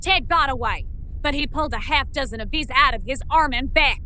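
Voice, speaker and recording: A woman's voice: high-pitched, fairly quick, sharp tone, slight background noise, poor audio. A woman voicing a wary young woman turned vampire in a fan-made motion comic, who talks in direct, questioning lines. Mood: accusing. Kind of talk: fan dub voice acting.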